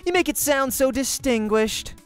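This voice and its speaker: A man voicing a sharp, bossy young woman gamer who scolds and taunts, in a visual novel. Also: extremely high pitch, expressive, moderate pace, soft background music, voice pushed up. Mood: mocking.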